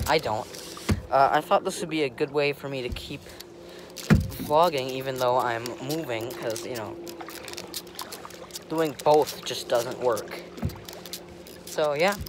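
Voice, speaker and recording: A young American man rambling casually to camera in an offhand, easygoing way, recorded outdoors on a phone. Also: a little high. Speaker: vlogger. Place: outdoors on a lake in a kayak and on shore. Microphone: phone held or propped close. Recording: noisy outdoor wind and water noise.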